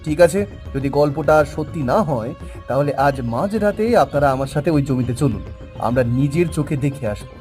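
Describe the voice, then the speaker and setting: A man's voice: a little high, fairly quick, darkish tone, soft background music, close to an everyday voice. A man voicing a suspicious, bossy wealthy buyer in a cartoon, speaking in a commanding tone and mixing in English words. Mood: stern. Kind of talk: Bengali cartoon dubbing.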